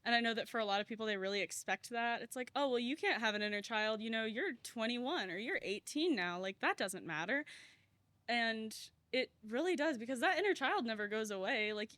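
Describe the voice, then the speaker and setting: A woman's voice: a little high, animated, brisk, fairly bright tone, no room sound. A young woman on a student panel, talking reflectively and casually with a lot of filler words. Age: young adult. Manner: reflective, uses many "like" fillers. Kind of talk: panel discussion.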